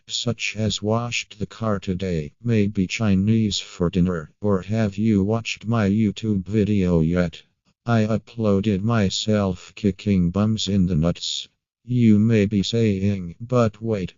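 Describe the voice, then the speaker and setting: A man reading a scripted horror story as narrator, in a measured and deliberate manner. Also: lowish voice, a little mellow, no echo, muffled recording.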